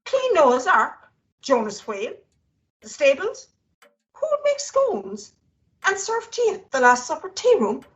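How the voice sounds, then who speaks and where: A woman's voice: high-pitched, huge pitch swings, slow, long pauses, muffled recording, heavily acted. A woman playing a put-upon, resentful but devoted worker in a rueful, grumbling radio-play monologue.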